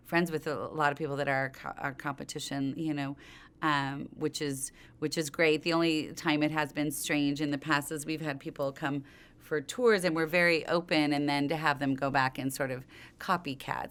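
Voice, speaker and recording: A woman's voice: deep voice, steady tone, moderate pace, a bit bright. A middle-aged woman, a company co-founder, talking in an interview in an informal, rambling way that circles back mid-thought. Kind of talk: conversational interview storytelling.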